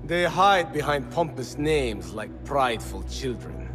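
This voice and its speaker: An actor playing a fervent rebel leader rallying freed slaves in a dramatic video game. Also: male voice, medium pitch, sing-song, unhurried, over music. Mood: grave, resolute.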